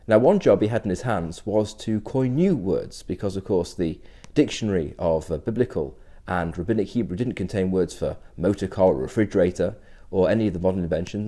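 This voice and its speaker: A middle-aged man, a rabbi, giving a short history talk in a measured, explanatory way. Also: fairly low pitch, warm tone.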